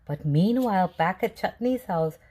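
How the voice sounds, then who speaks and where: A woman reading a children's storybook aloud with deliberate, expressive phrasing. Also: mid-range voice. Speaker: storybook reader.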